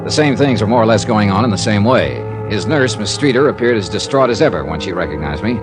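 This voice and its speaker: An actor playing a tough, wry American insurance investigator in 1950s radio style, dry, blunt and clipped. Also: male voice, lowish voice, animated, quick pace, over music, natural voice. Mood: wry, brisk. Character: tough, wry freelance insurance investigator, male, narrating his cases. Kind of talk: 1950s radio detective acting, clipped hard-boiled narration.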